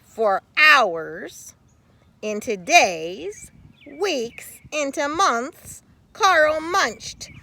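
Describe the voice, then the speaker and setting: A woman reading a children's story aloud expressively, with theatrical, deliberate phrasing and dramatic pauses. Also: high-pitched, bright, forceful tone. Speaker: storybook reader.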